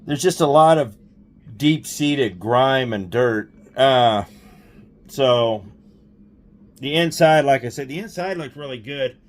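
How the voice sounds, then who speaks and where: A man with a medium-pitched voice hosts a hands-on repair video. He talks casually while he works, thinking aloud without hurry. His delivery is informal and explanatory, like a running demonstration. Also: lively intonation, slow pace. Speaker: host of a radio repair vlog.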